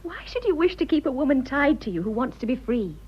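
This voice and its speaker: Frank young British upper-class wife, composed but firm, highish lively voice, period radio drama, slightly dull sound. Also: female voice, quick pace, breathy edge, heavily acted. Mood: resolute. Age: 20s. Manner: earnest, composed, direct.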